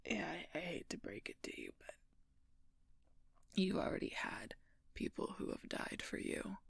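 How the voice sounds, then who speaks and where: A woman playing a wounded, dutiful werewolf knight in an intimate audio roleplay, halting and weary, in a breathy, husky, gravelly whisper. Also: on the low side, big pitch swings, dry recording, studio quality, heavily acted. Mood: earnest, wry. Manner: halting, weary, pained delivery. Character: wounded, dutiful female werewolf knight, wryly self-sacrificing protector.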